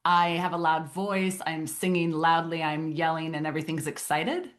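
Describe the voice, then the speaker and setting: A woman's voice: low-pitched, fairly even intonation, normal speed. A woman hosting a podcast, teasing her guests and asking playful questions. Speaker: podcast host. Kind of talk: podcast chat.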